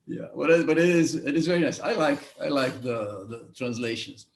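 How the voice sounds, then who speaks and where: A man's voice: medium pitch, normal speed. Man with a Brazilian Portuguese accent, echoey. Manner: repeats words, stumbles, friendly. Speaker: interviewer. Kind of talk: casual interview.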